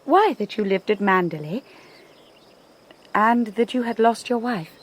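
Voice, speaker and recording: An actress playing a shy young lady's companion in a radio drama, gentle, hesitant and well-spoken as she narrates and converses. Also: fairly high pitch, expressive, unhurried. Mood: earnest, hurt. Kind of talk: radio drama acting and first-person narration.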